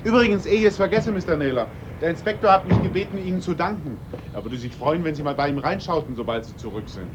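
A man playing an obliging, brisk police sergeant in a German radio drama, speaking politely and officially. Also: fairly high pitch, animated, lo-fi sound, very noisy, lightly acted. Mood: cheerful, brisk.

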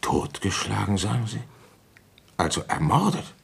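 A man's voice: lowish voice, animated, unhurried, vocal fry, breathy edge, full character voice. A man acting a middle-aged, world-weary police commissioner in a German radio crime drama, grumbling, brusque and dryly resigned. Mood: brisk, official. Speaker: narrator and lead character.

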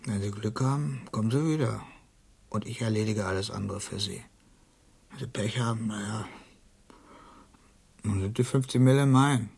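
An actor playing a brash, slangy tempter who pushes a deadly deal. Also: male voice, fairly low pitch, normal speed, vocal fry, long pauses, darkish tone, husky. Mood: menacing. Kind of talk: radio play acting.

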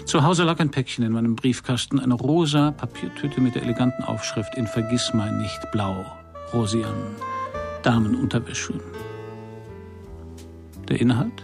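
An actor playing a wry, hard-boiled private detective in a radio crime play, with a dry, laconic delivery. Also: male voice, mid-range voice, fairly even intonation, normal speed, gravelly, loud music behind the voice, natural voice. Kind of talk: first-person crime radio play narration and dialogue.

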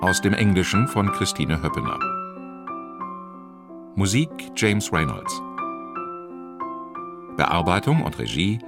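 A man's voice: sits low, big pitch swings, slow pace, creaky, long pauses, over loud music. A man announcing a radio drama in a scripted title reading.

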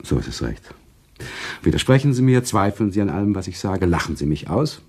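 Low, animated teasing gentleman storyteller. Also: breathy edge, heavily acted. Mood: playfully insistent. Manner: rhetorical, deliberate, theatrical phrasing. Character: genteel male author-storyteller, playful, teasing, eager to tell. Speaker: radio play actor, author-narrator. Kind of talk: literary radio play dialogue.

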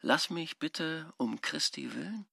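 A man's voice: on the high side, expressive, slow pace, gravelly, bright, forceful tone, no room sound, clean studio sound, exaggerated voice. An actor playing a shrewd, nosy man thinking aloud as he eavesdrops, musing in clipped phrases in a radio drama. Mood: tense, watchful. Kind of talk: radio drama interior monologue.

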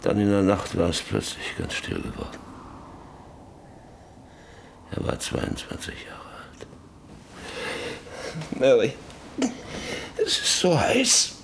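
Gravelly, guilt-ridden middle-aged father. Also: fairly low pitch, wildly swooping pitch, fairly bright tone, whispered, exaggerated voice. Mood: grief-stricken. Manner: halting, brooding, repeats phrases. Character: guilt-ridden, stubborn, brooding landowner father, married 25 years. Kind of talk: German radio drama acting.

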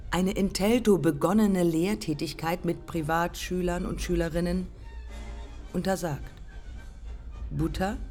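Slow, low-voiced proud woman aviator. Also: dark tone. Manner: terse, deliberate, pausing between short phrases. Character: proud, embittered pioneer woman aviator looking back. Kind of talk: German radio play monologue, clipped fragments.

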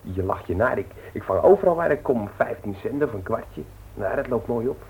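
An actor playing a smug, self-satisfied young schemer with a colloquial way of talking, in a 1960s radio play. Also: male voice, medium pitch, expressive, normal speed, darkish tone, slightly rough, low-quality recording. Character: sly, self-satisfied young male small-time schemer.

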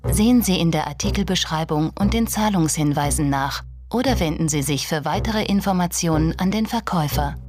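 A woman's voice: low-pitched, brisk, hardly any pauses, a little mellow, soft background music, close to an everyday voice. An actress playing the detached female voice of an auction website, reading clipped data formally in a radio drama. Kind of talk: scripted announcing in a radio crime drama.

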